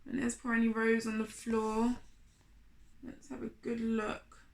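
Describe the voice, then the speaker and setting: A woman reading a picture book aloud to young children, animated and speaking to them directly with questions. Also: fairly high pitch, unhurried, gravelly, slight background noise. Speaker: children's storyteller, host of a storytime series.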